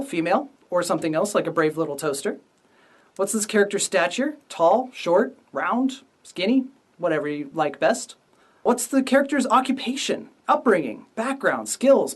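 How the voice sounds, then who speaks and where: A man's voice: high voice, sing-song, normal speed, slightly rough, far from the mic. A man hosting a casual writing lesson as the instructor. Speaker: writing instructor and series host.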